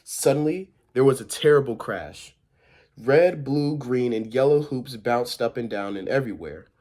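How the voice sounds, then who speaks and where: A man's voice: mid-range voice, lively intonation, moderate pace, a little mellow. A man with a mid-range voice reads a children's picture book aloud. His delivery is informal, like a volunteer storyteller, and he sometimes trips over the text. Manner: informal, stumbles over words while reading.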